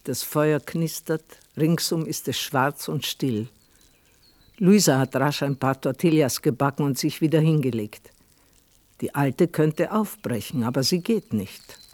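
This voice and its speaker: A man's voice: on the high side, unhurried, a little mellow, no room sound, natural voice. A man narrating a literary radio drama in a scripted storytelling style.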